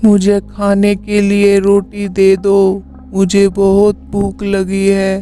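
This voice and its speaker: A woman's voice: mid-range voice, monotone, slow, mellow, close to an everyday voice. A woman voicing a vengeful, hungry witch ghost in a horror cartoon story, with a menacing delivery. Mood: demanding. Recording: music behind the voice.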